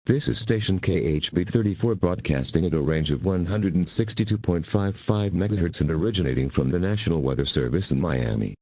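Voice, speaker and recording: A man's voice: low-pitched, lively intonation, fairly quick, few pauses, darkish tone, no echo. A man reading a scripted weather radio station identification in a formal, impersonal announcer style.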